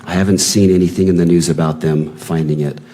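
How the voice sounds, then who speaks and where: Male witness reading a message exchange into the record, very low and mellow, no acting. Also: fairly even intonation, fast talker, slight background noise. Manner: plain, unacted reading with attributions. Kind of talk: reading written messages aloud, hearing testimony.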